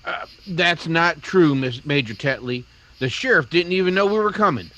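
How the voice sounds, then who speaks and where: A man's voice: a little high, animated, normal speed, sharp tone. A man playing an earnest townsman in a radio western, pleading and a little hesitant. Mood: earnest reasoning. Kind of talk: old-time radio drama acting.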